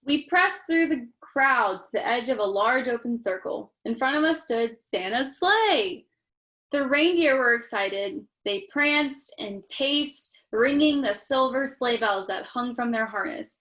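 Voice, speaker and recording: A woman's voice: fairly high pitch, animated, unhurried, fairly bright tone. A woman reading a children's picture book aloud expressively, with chatty asides spoken directly to young listeners. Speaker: storytime reader.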